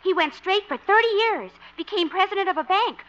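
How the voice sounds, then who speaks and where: Very high, rapid-fire gushing chatterbox. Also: female voice, expressive, a bit bright. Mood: gushing, chatty. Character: chatty, gushing, naively worrying woman acquaintance. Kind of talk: 1950s radio comedy acting. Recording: muffled old radio recording.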